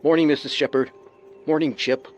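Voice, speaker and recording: A man's voice: on the high side, steady tone, moderate pace, faint music behind, natural voice. A man playing an over-friendly, folksy older man in a radio drama.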